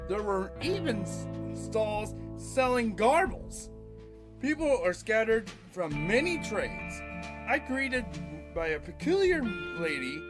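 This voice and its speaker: A man hosting an audio play podcast, reading his own writing theatrically with a halting, dramatic delivery. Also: sits high, big pitch swings, over music.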